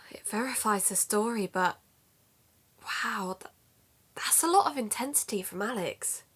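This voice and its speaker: A woman's voice: medium pitch, expressive, unhurried, fairly bright tone, slightly rough. An actress in an audio drama playing an earnest, matter-of-fact woman who hosts an investigative podcast. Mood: thoughtful.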